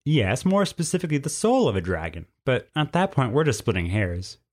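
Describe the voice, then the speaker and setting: A stern, folksy she-cougar from a storybook, acted out by a male narrator. The voice is lifted in pitch, plainspoken and protective, with homespun country turns of phrase. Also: very animated, brisk. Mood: folksy, amused. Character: stern, folksy female cougar guarding a secret. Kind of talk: storybook character voice.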